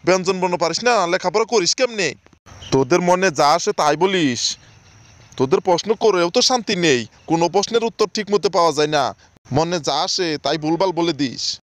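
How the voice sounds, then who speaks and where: A man plays a fussy cartoon schoolmaster with a fairly high male voice. He lectures and scolds his pupils, always on the edge of exasperation. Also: animated, normal speed. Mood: exasperated. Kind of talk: comedy cartoon dialogue.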